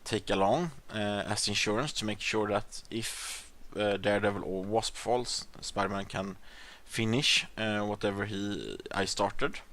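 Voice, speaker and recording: A man's voice: on the low side, fairly even intonation, a little slow, hardly any pauses, a bit bright. A man from Sweden with a Swedish accent, casually commenting on his game as he plays, with lots of fillers and restarts. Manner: rambling, hesitant, many fillers and restarts. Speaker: gaming channel host.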